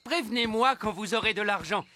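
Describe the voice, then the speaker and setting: High, bright male cartoon elf. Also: fairly quick. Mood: polite, reassuring. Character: polite, obliging male elf tradesman. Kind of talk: children's cartoon dub.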